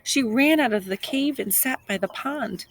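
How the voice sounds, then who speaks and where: A woman's voice: sits high, lively intonation, moderate pace, dry recording. A woman reading aloud to young children as a teacher, explaining simply and repeating key points. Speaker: second grade teacher.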